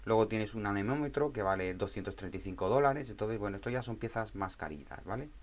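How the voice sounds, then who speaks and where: A man from Spain with a fairly low voice presents a technical tutorial in an informal way. His Spanish accent is that of Spain, and he explains things plainly, often adding small tag questions to check the listener follows. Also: expressive, quick pace.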